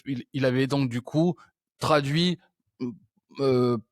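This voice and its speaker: A man's voice: mid-range voice, slow pace, dry recording. A male interview host with an ordinary mid-range voice, musing aloud and restarting phrases as he reaches for ideas. The sound is dry and close, with no room around it. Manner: thinking aloud, frequent restarts and hesitations. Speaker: podcast host. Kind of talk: reflective conversational interview.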